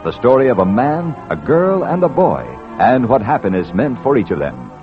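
A male announcer on a vintage radio drama, polished and formal, his pitch swinging widely from phrase to phrase. Music plays along, and the old recording is narrow and dull. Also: sing-song, normal speed.

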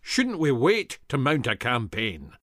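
A man's voice: medium pitch, wildly swooping pitch, slow, a bit bright, dry recording, studio quality, heavily acted. A man voicing a cautious, worried fifty-year-old Scottish officer with a Scottish brogue. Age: 50s.

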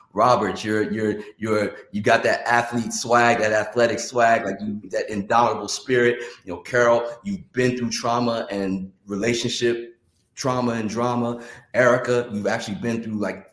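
A man from Scotland with a low voice, heard in a roomy, echoing space. He is effusive and warm, tripping over his words as he praises each guest in turn. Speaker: panel guest.

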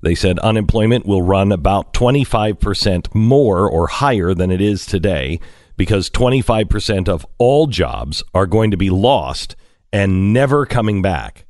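A man's voice: deep voice, expressive, measured pace, a little mellow, no room sound, studio quality. A man in his fifties hosting a talk radio show, wry and questioning as he leads the talk. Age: 50s. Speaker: radio host. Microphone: studio microphone.

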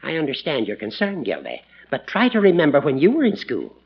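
A man's voice: on the high side, wildly swooping pitch, a little fast, slightly rough, exaggerated voice. A man playing a teasing older judge in 1940s radio comedy style, with a reedy voice and a cackle. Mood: coaxing. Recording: muffled old radio recording.

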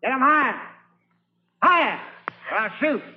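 Slow, bullying Confederate sentry. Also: male voice, very high-pitched, very animated, husky, heavily acted. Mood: threatening. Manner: commanding, folksy, taunting. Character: gruff, bullying Confederate soldier on sentry duty. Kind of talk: 1950s radio drama acting. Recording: muffled old radio broadcast.

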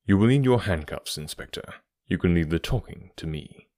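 Guy doing calm bossy detective for an audiobook, very low voice, assured n stern, dry sound. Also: normal speed, no room sound. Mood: quiet command. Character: calm, authoritative, stern male English detective.

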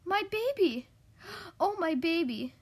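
A woman voicing a distraught, excitable mother in a children's storybook, exclaiming loudly. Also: extremely high pitch, takes it slowly, voice pushed up, exaggerated voice. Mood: alarmed, distraught. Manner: loud exclaiming.

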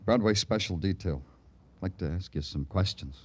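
An American actor playing a tough, brooding, terse big-city police detective in a 1950s radio crime drama. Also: male voice, low-pitched, normal speed, a little mellow, slightly rough. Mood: grave, probing. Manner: terse, hard-boiled, slangy. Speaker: detective and narrator. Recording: noisy old radio recording.

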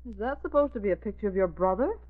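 A woman's voice: mid-range voice, animated, racing, close to an everyday voice. Woman playing a wry secretary on tinny old radio. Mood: curious. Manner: dry, teasing, crisp repartee. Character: wry, teasing, quick-witted female office secretary. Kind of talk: 1940s radio drama acting. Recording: narrow, lo-fi old radio recording.

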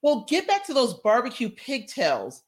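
A woman's voice: a little high, huge pitch swings, moderate pace, breathy edge. A woman hosting a food podcast, reading a polished introduction and then chatting with her guest. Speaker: podcast host. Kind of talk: scripted intro then interview chat.

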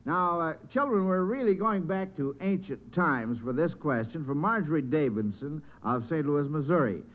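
An American man hosts a vintage radio quiz show with a high voice. He is effusive and encouraging with contestants. The recording is thin and band-limited, like an old broadcast. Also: lively intonation, moderate pace, phone-quality sound.

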